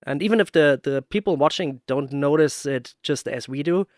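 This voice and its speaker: A man's voice: on the high side, moderate pace, a little mellow, no echo, clean studio sound. A man hosting a casual interview, telling his own experiences and acting out his reactions. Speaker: podcast host.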